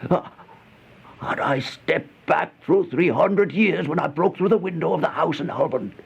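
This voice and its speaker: An actor playing a horrified man telling his nightmare in a halting, gasping, theatrical way, in an old radio horror monologue. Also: a little high, gravelly, slightly rough, heavily acted. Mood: dazed, anguished. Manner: halting, gasping, theatrical delivery with drawn-out dread. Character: horrified man narrating his nightmare in a plague-haunted house. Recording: muffled, noisy old radio recording.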